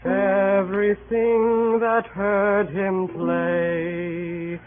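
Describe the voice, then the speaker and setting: A woman playing a young servant, singing a gentle, drawn-out lullaby in an old radio Shakespeare drama. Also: mid-range voice, level tone, faint music behind. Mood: gentle, plaintive. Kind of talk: slow sung Shakespeare song in radio drama. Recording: muffled old radio recording.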